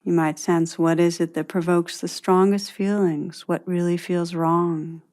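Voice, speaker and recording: A woman leading a guided meditation, speaking gently and deliberately, with spacious pauses between phrases. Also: lowish voice, fairly even intonation.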